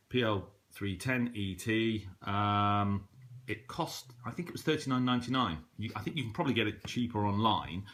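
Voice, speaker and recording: A man's voice: sits low, a bit bright. A British man giving a casual, unscripted product review in a hesitant, conversational way. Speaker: hobbyist radio reviewer. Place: indoors at a table in a room.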